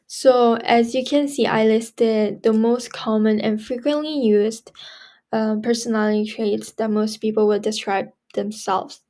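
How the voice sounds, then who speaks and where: A woman's voice: a little high, little pitch movement, measured pace, dark tone. A teenage girl giving a chatty, repetitive language lesson as a student tutor. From Korea. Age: teens. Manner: chatty, repeats herself for emphasis, many fillers. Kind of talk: casual recorded lesson.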